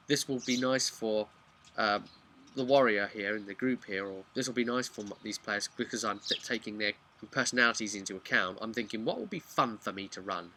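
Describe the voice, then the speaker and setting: A man's voice: medium pitch, sharp tone, slight background noise. A man giving a casual, unscripted explainer as a video host, rambling along in a chatty, frank way. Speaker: video host and tabletop game master.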